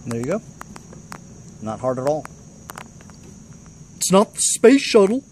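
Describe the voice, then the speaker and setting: A man's voice: on the high side, sing-song, slow pace. Casual, blunt DIY man, noisy recording. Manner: colloquial, blunt, grumbling asides. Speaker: DIY how-to video maker. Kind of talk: casual hands-on tutorial chat. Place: in and around a cargo van.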